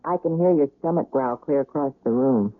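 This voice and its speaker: A woman's voice: rumbling low, normal speed. An actress playing a flippant, evasive woman hospital patient with a dry, playful manner in a 1970s radio drama. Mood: teasing, evasive. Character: wry, flippant woman heart-surgery patient, teasing and evasive. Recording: tinny old radio broadcast recording.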